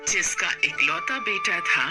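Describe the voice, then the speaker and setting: A woman's voice: fairly low pitch, animated, a little slow, loud music behind the voice. A woman storyteller reading scripted narration for a moral story.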